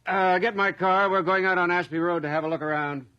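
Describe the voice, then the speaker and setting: An actor playing a commanding, scheming corrupt police chief in a radio drama, speaking brusquely and taking charge. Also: male voice, sits high, steady tone, a little fast, sharp tone, dull audio. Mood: brisk, in charge. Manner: brusque, takes charge of others.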